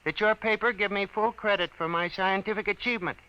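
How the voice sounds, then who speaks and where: Proud, sharp-voiced eccentric old scientist. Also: male voice, high-pitched, steady tone, a little fast, clean tone. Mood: proud, explaining. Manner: precise, formal, pompous. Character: eccentric, embittered older scientist, proud and obsessive. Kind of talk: 1950s radio drama acting. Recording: dull vintage 1950s radio recording.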